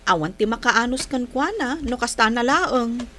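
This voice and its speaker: A woman's voice: on the high side, expressive, moderate pace, pushed and bright. A woman playing a concerned, exasperated grown daughter in a radio drama, complaining earnestly with a Filipino accent. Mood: worried. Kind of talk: Ilocano radio drama acting.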